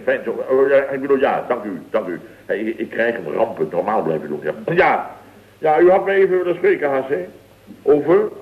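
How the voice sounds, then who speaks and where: An actor playing a boastful, easily flustered building contractor boss in a radio comedy, rambling and full of verbal tags. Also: male voice, medium pitch, natural voice. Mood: flustered, nervous. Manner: rambling, peppered with "hè" and "zeg". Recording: tinny, band-limited vintage radio recording.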